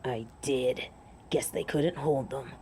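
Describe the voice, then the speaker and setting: A woman's voice: a bass voice, mellow, full character voice. A woman playing a proud, sardonic female werewolf alpha in a theatrical fantasy audio drama. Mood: dry, menacing. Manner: haughty, theatrical, deliberate. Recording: clear background noise and ambience.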